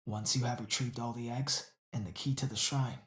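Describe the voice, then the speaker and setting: A man's voice: mid-range voice, steady tone, moderate pace, fairly bright tone, close to an everyday voice. Game lore-keeper: male actor as a reverent, ominous islander. Formal delivery, mid-range voice, echoey sound. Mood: foreboding. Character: solemn cultist islander, reverent and foreboding. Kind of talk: video game character acting. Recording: roomy echo.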